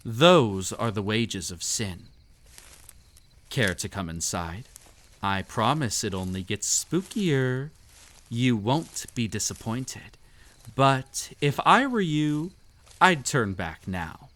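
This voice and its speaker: A man playing a mysterious, teasing host of a haunted estate in an immersive roleplay audio, telling tales to the listener with dramatic pauses. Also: medium pitch, big pitch swings, fairly bright tone. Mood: teasing, ominous. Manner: teasing, long-winded storyteller, slow dramatic pauses.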